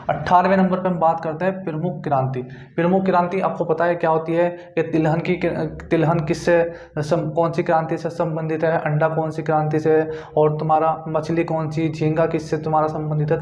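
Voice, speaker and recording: Male exam coach, North Indian accent, higher-pitched voice, informal and repetitive lecturing, dull lo-fi sound. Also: a little mellow. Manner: repetitive, with frequent check-in tags. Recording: dull, echoey room recording.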